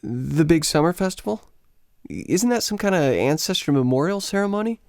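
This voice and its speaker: Eager American teacher, swooping gravelly voice. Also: male voice, a little high, expressive, moderate pace, no echo, studio quality. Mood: intrigued. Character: eager, smitten American male teacher on holiday. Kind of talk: radio play acting.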